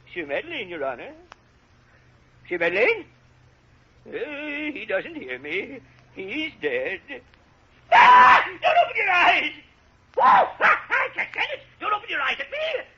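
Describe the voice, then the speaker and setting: An actor playing an old peasant gravedigger in a radio drama, wheedling and excitable, with a wavering, stammering delivery. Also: male voice, a little slow, pauses often, shouting, full character voice. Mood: grieving, then frantic. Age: older. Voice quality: high-pitched, wavering old man's voice. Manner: hesitant, stammering, exclamatory. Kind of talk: golden age radio drama acting. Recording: muffled, noisy old radio broadcast.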